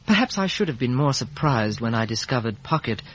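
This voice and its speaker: An actor playing an earnest, polite young gentleman in a 1950s radio drama, narrating and speaking in measured, literary fashion on a muffled old recording. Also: medium pitch, big pitch swings, breathy edge. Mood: measured, reflective.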